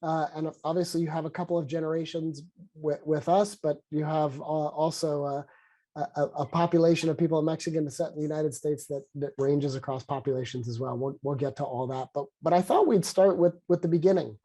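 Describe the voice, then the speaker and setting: A man's voice: on the high side, fairly even intonation, warm tone. A man with a head cold and a congested voice, speaking earnestly on a video call as he opens a webinar conversation. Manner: earnest, halting with restarts. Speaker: sociologist and conversation co-lead.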